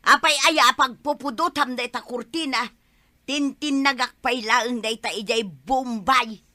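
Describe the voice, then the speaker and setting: Irritable Ilocano wife, high-voiced, slow delivery, for radio comedy drama. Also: female voice, bright, forceful tone, no echo. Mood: exasperated.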